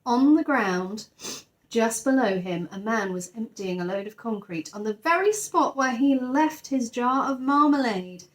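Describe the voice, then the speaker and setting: A woman's voice: a little high, expressive, measured pace, poor audio. A woman narrating a children's story expressively, like a teacher reading aloud to her class.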